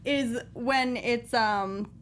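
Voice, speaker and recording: Female podcast co-host, slightly high voice, casual review banter, some background noise. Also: extremely slow. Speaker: talk show host.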